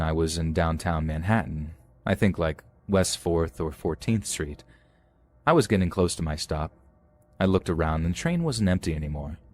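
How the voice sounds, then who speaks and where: Male horror narrator, very low register, measured delivery. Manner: calm, measured storytelling. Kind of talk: scripted narration.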